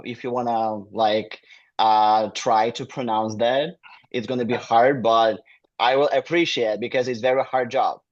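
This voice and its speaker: Ukrainian-accented man on a dull call. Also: mid-range voice. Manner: non-native phrasing with frequent fillers. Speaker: guest, admissions manager. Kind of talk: casual interview talk.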